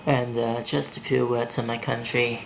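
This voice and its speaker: A man from Vietnam with a Vietnamese accent, talking slowly and deliberately in a home video blog, blunt when he gives his opinions. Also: medium pitch, level tone, vocal fry, slight background noise, poor audio. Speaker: vlogger. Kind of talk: casual video blog, reading a quiz and ranting. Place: indoors at home at night, kept quiet.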